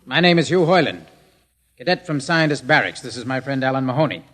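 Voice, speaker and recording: An earnest young scientist cadet in a vintage radio drama. The voice is medium in pitch, courteous and measured, with formal phrasing. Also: male voice, lively intonation, fairly bright tone. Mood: polite, respectful. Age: young adult. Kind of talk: 1950s radio drama acting.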